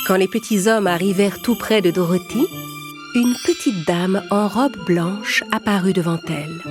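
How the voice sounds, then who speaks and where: A woman's voice: medium pitch, moderate pace, music in the background. A woman reading a scripted children's story as narrator.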